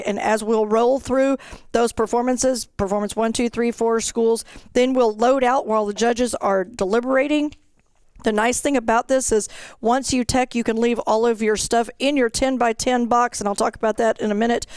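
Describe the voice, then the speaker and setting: A woman's voice: a little high, a little mellow, clean tone, dry recording. A woman presenting an information session as an event organizer, explaining procedures step by step in an instructional way.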